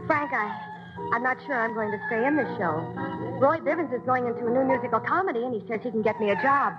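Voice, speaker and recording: Woman playing a spirited young showgirl, high voice, muffled old radio with music under. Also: fast, natural voice. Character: spirited young female stage dancer. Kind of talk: 1940s radio acting.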